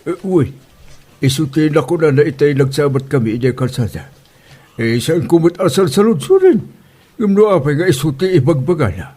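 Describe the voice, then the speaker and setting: A man's voice: a little high, lively intonation, moderate pace, warm tone, slightly rough. A man playing a good-natured Filipino village father in a comedy drama, talking in a colloquial, exclaiming way. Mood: amused. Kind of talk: Ilocano comedy radio drama acting.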